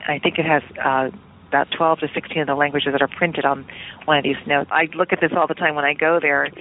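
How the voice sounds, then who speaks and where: A woman's voice: low voice, a little hiss or hum. A woman television producer talking casually as a radio interview guest, chatty and hedging as she thinks aloud. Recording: tinny phone line.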